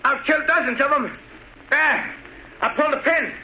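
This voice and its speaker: An actor playing a traumatized, suggestible former army sniper in an old radio drama, dazed and agitated. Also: male voice, very high-pitched, normal speed, pushed and bright, heavily acted. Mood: desperate, threatening. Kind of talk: 1930s-40s radio drama acting. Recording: muffled, faintly noisy old radio recording.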